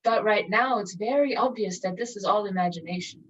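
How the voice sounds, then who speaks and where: A woman speaks as a meditation teacher, guiding listeners calmly and contemplatively. Her medium-pitched voice moves in measured phrases separated by long pauses. The mic sits some way off, leaving the sound slightly dull and lo-fi. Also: breathy edge, recorded from a distance. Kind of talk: guided meditation, spoken slowly with long pauses.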